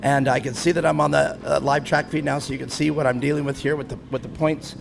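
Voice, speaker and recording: A man's voice: on the high side, little pitch movement, fast, gravelly, background noise. A man in his fifties announcing an off-road race live from the grandstand, chatty and self-deprecating with frequent laughs. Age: 50s. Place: grandstand at an outdoor race track.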